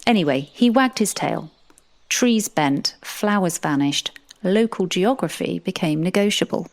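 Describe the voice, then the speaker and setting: A woman playing a composed, wry supernatural narrator, telling a fantasy tale in a measured, deadpan manner. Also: fairly low pitch. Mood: dryly amused. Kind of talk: scripted first-person fantasy narration.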